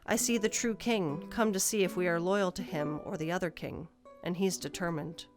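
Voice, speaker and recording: A proud high priestess and oracle, put on by a woman narrator, who speaks with solemn, portentous certainty. It is a fantasy audio story with faint music beneath the voice. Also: steady tone, normal speed, natural voice. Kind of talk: storybook audio play dialogue.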